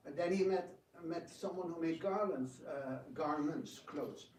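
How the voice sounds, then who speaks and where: A man with a slightly high voice speaks informally to a group, some way from the mic in an echoey room. He tells stories in an animated way, often repeating words as he searches for the next one. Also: measured pace. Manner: animated storyteller, acts out quotes, hesitant repetitions. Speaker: devotional speaker and drama director taking questions. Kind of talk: informal spiritual talk with questions. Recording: echoey room, distant mic, faint noise.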